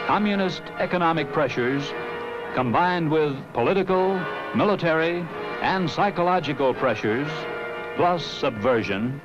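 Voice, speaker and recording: An American man narrating a 1960s promotional film in a measured, solemn, emphatic way. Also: fairly high pitch. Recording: dull vintage film soundtrack. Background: music.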